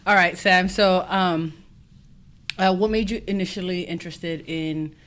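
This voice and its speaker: A woman hosting a podcast, in a casual interview chat, friendly, quick to laugh, with plenty of "um". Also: fairly low pitch, fairly even intonation, fairly bright tone. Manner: friendly, laughs often, many fillers. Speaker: podcast host.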